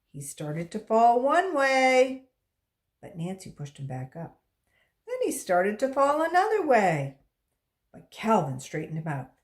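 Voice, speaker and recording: A woman reading a storybook aloud to children as a volunteer, pausing to explain things to young listeners. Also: a little high.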